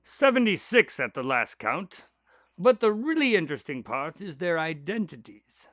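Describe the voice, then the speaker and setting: An animated, high-pitched doctor sidekick. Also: male voice, normal speed, no room sound, clean studio sound. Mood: recounting, grave. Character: earnest doctor, loyal sidekick to a detective, recounting his cases. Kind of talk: audio drama dialogue and storytelling.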